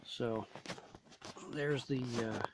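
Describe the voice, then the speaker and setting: Man giving a casual, halting gear demo. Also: medium pitch, background noise. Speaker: gear reviewer showing a radio install. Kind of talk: casual product demonstration.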